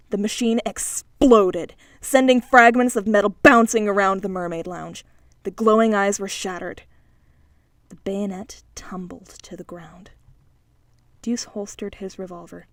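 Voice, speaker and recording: A woman's voice: mid-range voice, no echo. A woman reading an audiobook, narrating scripted fiction in a dramatic style.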